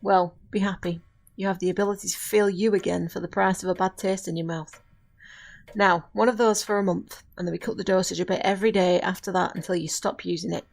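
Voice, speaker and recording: A woman acting a centuries-old witch in an audio drama, firm but caring, speaking earnestly in formal, measured phrases. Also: lowish voice, fairly even intonation, creaky. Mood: brisk, stern.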